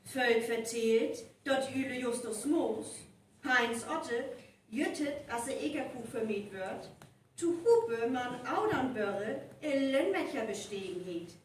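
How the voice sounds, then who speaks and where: A woman with a North Frisian accent playing a deliberate, explanatory young folklore researcher in a live radio play. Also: fairly high pitch, animated. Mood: explaining. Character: young female university researcher of local folk legends. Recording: echoey live stage recording, distant, faint noise.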